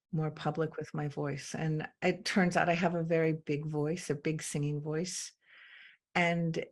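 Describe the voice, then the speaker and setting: A woman from England, a guest in a conversation, speaking reflectively with frequent pauses and ums. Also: deep voice, darkish tone. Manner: slow, thoughtful, with many pauses and ums. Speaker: interview guest.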